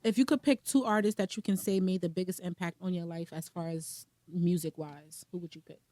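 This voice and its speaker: A woman's voice: fairly low pitch, steady tone, fairly quick, dark tone, no echo. A woman co-hosting a podcast, asking the guest questions in an easygoing, conversational way. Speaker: podcast co-host. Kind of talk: casual podcast interview.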